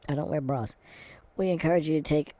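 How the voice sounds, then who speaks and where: A man reading an online quiz aloud in a casual vlog, answering it with sarcastic, deadpan asides and dark comic rants. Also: a little high, fairly quick, dry recording. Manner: reads quiz text aloud, stumbling and muttering. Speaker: vlogger. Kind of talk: casual commentary while taking an online quiz.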